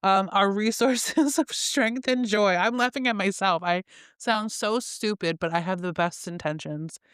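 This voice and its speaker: A woman hosts a casual solo podcast from her bed, reading affirmations and joking at her own stumbles. Her voice is very clear and smooth. The sound is close and dry, with no room echo. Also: medium pitch, unhurried, fairly bright tone. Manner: chatty, self-mocking, laughs at her own slips. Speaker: podcast host.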